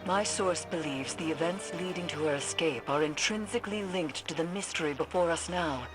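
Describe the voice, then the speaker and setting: A woman voicing a solemn, cryptic occult scholar in a video game, speaking in a measured, formal way. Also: low-pitched, music in the background, lightly acted. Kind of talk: video game cutscene voice acting. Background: orchestral game score.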